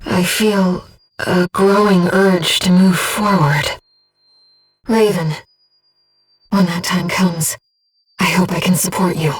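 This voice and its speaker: A woman voicing a gentle, earnest disembodied guide to a mercenary pilot in a video game, with a soft, measured, composed delivery. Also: on the low side, fairly even intonation, breathy edge, distant mic, low-quality recording. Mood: solemn, gentle. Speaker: mission support operator. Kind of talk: scripted video game voice acting.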